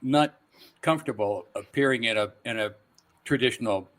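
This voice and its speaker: A middle-aged man with an average-pitched voice talks casually as an interview guest. He explains and recounts things in a conversational storytelling way. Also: moderate pace, a bit bright. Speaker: guest voice actor.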